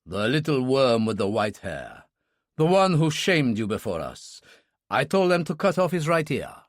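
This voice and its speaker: A man voicing a calm older male Chinese Shaolin monk and kung fu master who speaks in a slow, measured, formal way. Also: mid-range voice, no room sound, clean studio sound.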